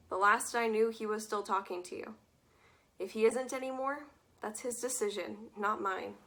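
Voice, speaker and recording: A woman's voice: mid-range voice, fairly bright tone, natural voice. A young woman acting a teenage girl, wry and wistful, reading letters in a stage monologue. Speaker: stage actor.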